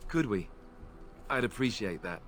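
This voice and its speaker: A man's voice: lowish voice, lively intonation, measured pace, faint music behind. An actor playing an awkward, self-deprecating young male knight in a game, talking in a hesitant, rambling way. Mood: earnest. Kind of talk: game character dialogue.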